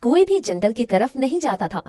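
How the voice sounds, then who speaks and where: A woman's voice: a little high, big pitch swings, moderate pace, mellow, dry recording, clean studio sound. A woman narrating a scripted moral story for children.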